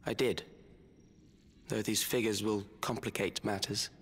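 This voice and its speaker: A man's voice: fairly low pitch, little pitch movement, a little slow, breathy edge. A man playing a cold, detached scientist villain in a video game cutscene, speaking with formal precision. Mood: cool, detached. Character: cold, calculating male scientist without flesh, a villain.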